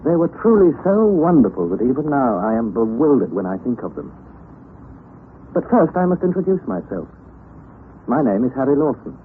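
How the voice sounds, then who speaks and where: A man's voice: medium pitch, big pitch swings, normal speed, phone-quality sound, close to an everyday voice. An actor playing an earnest young Englishman with an English accent, telling his adventure formally and dramatically in a radio play. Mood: reflective storytelling. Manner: articulate, formal, dramatic storytelling. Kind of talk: first-person radio drama narration and acting. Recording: noisy, thin, band-limited old radio recording.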